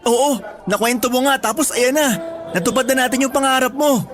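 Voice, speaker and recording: A man's voice: very high-pitched, brisk, a bit bright. An actor playing a playful, sweet-talking boyfriend who is devoted to his partner, in a radio drama. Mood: overjoyed. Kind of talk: Tagalog radio drama acting. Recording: music bed under dialogue.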